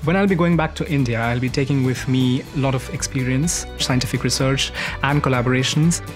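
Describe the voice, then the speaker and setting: A man's voice: mid-range voice, fairly quick. A young man, a student, speaking in a composed and articulate way in an indoor interview. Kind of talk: interview answer. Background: music.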